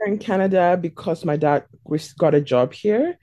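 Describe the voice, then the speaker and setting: Thoughtful young woman, mellow voice. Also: on the low side, normal speed, no room sound, highs cut off. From South Africa. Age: 20s. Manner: thoughtful, searching for words. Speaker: stylist and guest. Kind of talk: interview answers.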